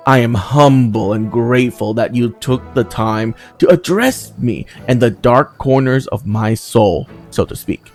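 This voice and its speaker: A man voicing a formal, earnest crusader knight of wounded dignity in a video game, with courteous, ornate phrasing. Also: medium pitch. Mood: grateful, proud.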